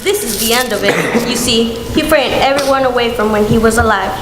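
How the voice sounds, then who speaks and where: A woman plays a callous scavenger woman in a radio drama, gloating over her profit. The voice is fairly high, in an echoey hall with clear noise behind. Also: brisk, close to an everyday voice. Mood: gleeful gloating. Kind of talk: live radio play acting. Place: school theatre stage before a live audience.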